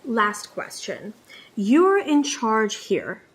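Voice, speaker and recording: A woman's voice: on the high side, very animated, extremely slow, slightly rough. A woman playing a wry, reluctant reporter in a comedy sketch.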